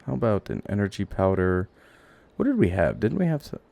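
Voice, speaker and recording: A man giving casual gameplay commentary, thinking aloud in a halting, rambling way and swearing now and then. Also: lowish voice, sing-song, creaky, a little mellow. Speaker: game streamer. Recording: audible background noise, clicks.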